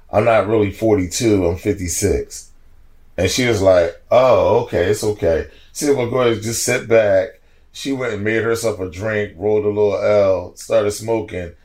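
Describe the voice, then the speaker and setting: A man in his late forties with a lowish voice tells stories on a podcast. He talks in a loose, slangy way and gets animated and laughing as the story builds. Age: 40s. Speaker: podcast guest. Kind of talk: casual storytelling chat.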